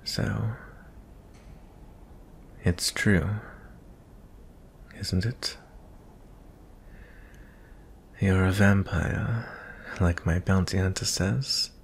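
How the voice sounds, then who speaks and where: A man playing a gentle, weary young prince in a fantasy ASMR roleplay, speaking softly and intimately in a breathy, husky voice with frequent pauses. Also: low voice, fairly even intonation, a little hiss or hum, full character voice. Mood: gentle concern. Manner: slow, hushed, pausing often.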